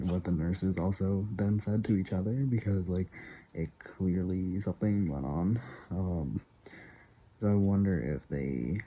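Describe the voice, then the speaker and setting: Man, casual rambling vlogger, very dark mellow tone, laughs often between thoughts. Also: sits low, slightly rough, low-quality recording. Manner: rambling, many fillers, frequent soft laughs.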